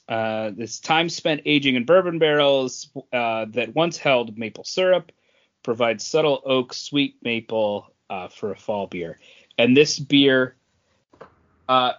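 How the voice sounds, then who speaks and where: Slow-paced man, halting casual podcast talk. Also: mid-range voice, dull audio. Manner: halting, pausing between short phrases. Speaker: podcast co-host. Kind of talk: casual chat, informal beer tasting.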